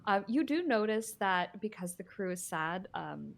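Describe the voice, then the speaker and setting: A woman narrating a tabletop game as game master, describing scenes in a halting way with many fillers. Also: medium pitch, lively intonation, creaky, a little hiss or hum.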